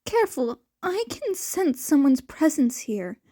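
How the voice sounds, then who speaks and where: Woman putting on an anxious, high-pitched young quester. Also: sing-song, unhurried, mellow. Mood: cautious. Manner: worried, cautioning.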